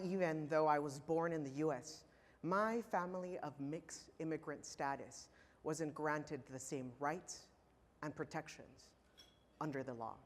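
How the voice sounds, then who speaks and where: Impassioned man speaking in a reverberant hall. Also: a little high. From Los Angeles, California. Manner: impassioned, emphatic, with pointed pauses. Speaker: keynote speaker. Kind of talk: prepared speech to a conference audience. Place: large conference hall.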